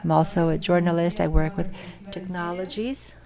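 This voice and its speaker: Low-voiced woman interpreting live in halting phrases. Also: flat delivery, gravelly, crowd noise. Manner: halting, phrase-by-phrase delivery with self-corrections. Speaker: simultaneous interpreter. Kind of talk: live interpretation of audience questions at an academic symposium.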